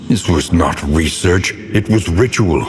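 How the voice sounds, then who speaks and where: An actor playing a grave, erudite older scholar in a horror game, narrating a dark occult past with solemn, deliberate, ornate diction. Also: male voice, sits low, slightly rough, full character voice. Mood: grave, brooding. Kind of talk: dramatic scripted gothic narration. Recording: long cavernous reverb.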